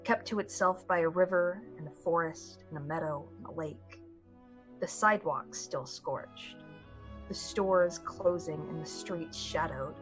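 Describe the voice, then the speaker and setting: A woman narrating a radio play in a measured, atmospheric, dramatic storytelling style. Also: on the low side, a bit bright, highs cut off. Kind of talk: literary radio-play narration in 1940s manner. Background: music.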